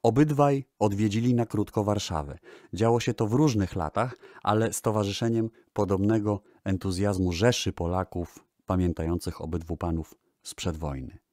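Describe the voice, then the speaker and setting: A man hosting a music history show, narrating in a measured, formal radio style and addressing the listeners. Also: on the low side, steady tone, a little mellow, no echo, studio quality. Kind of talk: radio-style narration about old recordings.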